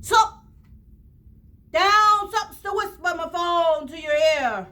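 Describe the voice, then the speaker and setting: A woman reading a rhyming children's story aloud in an expressive, theatrical way with drawn-out phrasing. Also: extremely high pitch. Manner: theatrical, drawn-out phrasing, pausing to show pictures to listeners. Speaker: storybook reader at a library read-aloud.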